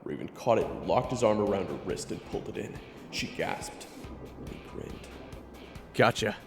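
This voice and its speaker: A man's voice: fairly low pitch, lively intonation, normal speed. A man giving scripted, dramatic narration for an audio roleplay story. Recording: echoey reverb, music behind.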